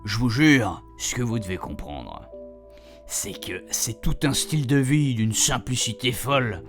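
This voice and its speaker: A man acting as an older retired husband in a radio play, proud and talkative. He rambles in colloquial, confiding asides to his audience, at an ordinary male pitch, with music underneath. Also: expressive, unhurried, a bit bright. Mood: confiding, rambling. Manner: colloquial, digressive, addressing the reader directly. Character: chatty, proud retired husband besotted with his camper van. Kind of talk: radio fiction monologue, rambling and conversational.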